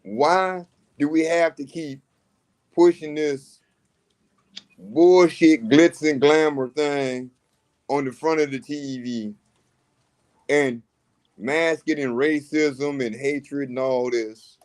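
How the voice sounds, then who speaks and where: Fairly high-voiced man, heated and profane. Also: darkish tone. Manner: heated, profane, drawn-out emphasis. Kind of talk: casual political chat.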